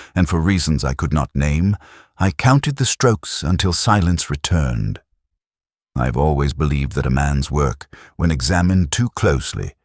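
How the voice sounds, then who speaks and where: A man narrating a first-person detective story as a police inspector, in a measured, solemn, literary manner. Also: very deep voice, no room sound, studio quality.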